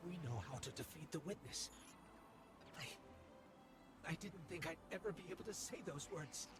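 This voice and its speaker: A man voicing an earnest, brave little robot companion in a video game, speaking sincerely and a little haltingly. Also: fairly high pitch, animated, very noisy, natural voice. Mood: earnest hope. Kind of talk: scripted dramatic game dialogue.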